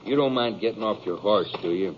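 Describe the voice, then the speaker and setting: An actor playing a bitter, brooding western outlaw in a 1950s radio drama, speaking in halting, rustic, confessional fashion. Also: male voice, on the low side, slight background noise, lightly acted. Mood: cool, menacing. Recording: dull 1950s radio recording.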